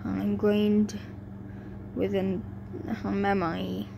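Young woman reading a fanfic out loud, slow n stumbly, humming noisy home audio. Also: medium pitch, level tone, creaky. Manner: stumbling over words. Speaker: amateur fan fiction reader. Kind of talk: halting story read-aloud. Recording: noisy home recording with hum.

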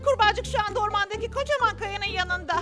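A woman's voice: very high-pitched, fast, loud music behind the voice. A woman voicing an urgent messenger crying for help in a children's cartoon, calling out with exaggerated alarm. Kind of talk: animated children's cartoon dub.

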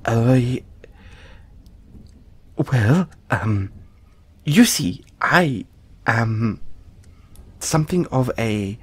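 A man playing an anxious, apologetic dollmaker in an audio roleplay, stammering and halting as he speaks to the listener. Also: medium pitch, lively intonation, slightly rough, no echo, heavily acted. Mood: flustered, alarmed. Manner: stammering, halting, flustered, placating. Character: anxious, apologetic male dollmaker who dabbles in soul magic.

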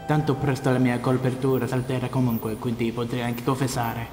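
A weary cartoon space crewman with murder in him, acted by a man. He speaks at middle pitch in a roomy, distant recording, over music. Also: little pitch movement, brisk, natural voice. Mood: weary, resigned. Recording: echoey, distant audio under music.

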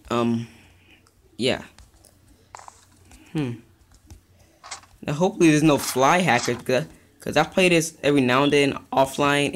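A young man giving casual, rambling commentary over his own gameplay, chatty and easily excited. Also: medium pitch, slight background noise. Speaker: gaming youtuber.

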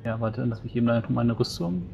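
A man's voice: medium pitch, brisk, creaky, highs cut off, music in the background. A man chatting casually as a player in a tabletop roleplaying game. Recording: faintly noisy voice recording.

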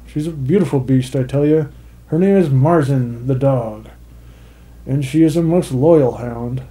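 A man's voice: medium pitch, unhurried, mellow, poor audio. A man who directs films, giving behind-the-scenes commentary with dry, wry asides. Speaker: film director. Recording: faint music underneath.

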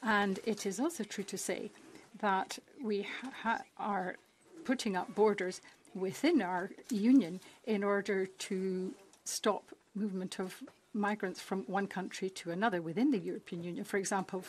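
A woman's voice: medium pitch, fairly even intonation, normal speed, slight background noise. Woman interpreting a parliamentary speech. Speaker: simultaneous interpreter.

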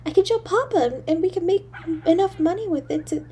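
A woman voicing a poor, lonely little girl in an audio drama, halting and breathy, with childlike stammering. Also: extremely high pitch, animated, mellow, a little hiss or hum. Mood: hushed wonder. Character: poor, lonely, freezing little match girl, timid and yearning. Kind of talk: solo audio drama monologue.

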